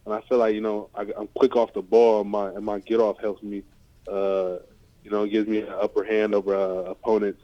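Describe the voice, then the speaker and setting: A man's voice: on the low side, level tone, brisk. A man in his twenties from the United States, an athlete giving informal interview answers full of "you know" and "like" on a conference call. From United States (California and Ohio). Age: 20s. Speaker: American football player, linebacker. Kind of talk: casual press interview answers. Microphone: remote conference call. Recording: dull, lo-fi conference call audio.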